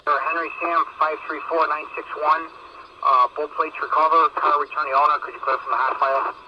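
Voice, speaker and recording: A man's voice: on the high side, little pitch movement, brisk, highs cut off. American policeman on very noisy radio. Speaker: police officer in the field. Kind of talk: casual radio report.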